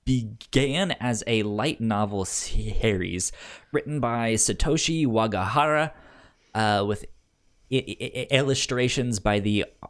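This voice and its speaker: A man co-hosting a podcast in casual conversation, halting and given to chuckling as he goes through his notes. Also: medium pitch. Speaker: podcast co-host.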